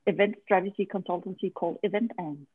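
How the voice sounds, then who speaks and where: A woman's voice: lowish voice, fast talker, slightly rough, no room sound. Woman on a dry, tinny line. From Denmark. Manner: hesitant, many fillers, laughs easily. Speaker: event strategy consultant, podcast guest. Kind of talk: casual interview answers.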